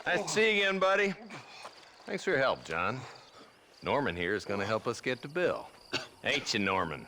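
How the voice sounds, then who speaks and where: A man's voice: mid-range voice, very animated, normal speed, background noise. An actor playing a hard-bitten, folksy lawman with an American Western accent in a game cutscene, giving orders with authority. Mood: commanding.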